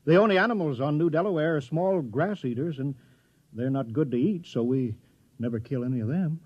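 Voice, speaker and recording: A man's voice: on the high side, lively intonation, a little fast. A man playing a mild, apologetic, flustered village mayor in a 1950s radio drama, hesitant and stammering. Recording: dull old radio recording.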